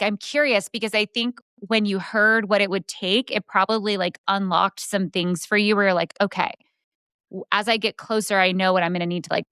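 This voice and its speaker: A woman podcast host coaches and encourages her guest in conversation. She affirms often and asks reflective questions. Her voice is smooth and clear, recorded dry and close. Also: medium pitch, bright, forceful tone, no room sound. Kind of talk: conversational interview.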